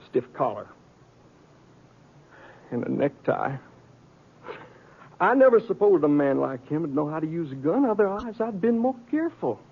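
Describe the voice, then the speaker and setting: An actor playing a stubborn, vain Western outlaw with a needling, folksy rustic drawl in a 1950s radio western. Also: male voice, sits high, phone-quality sound. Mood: grudging. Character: sly, stubborn, vain wounded male outlaw. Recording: thin, band-limited old radio recording.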